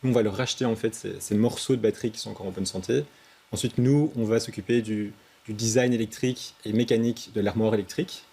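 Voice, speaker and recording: A man's voice: lowish voice, steady tone, fast, creaky, a little mellow, breathy edge. A man, a start-up founder giving structured technical explanations.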